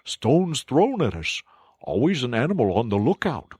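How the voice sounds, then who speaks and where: A man's voice: mid-range voice, very animated, normal speed, a little mellow, slightly rough, dry recording, pitched above the performer's own voice. A fretful little mole, worked up and aggrieved, his voice pushed well above the reader's own and recorded dry and close as a man acts him out. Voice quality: raised, agitated, complaining. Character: fretful, complaining male mole. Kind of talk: audiobook character voice.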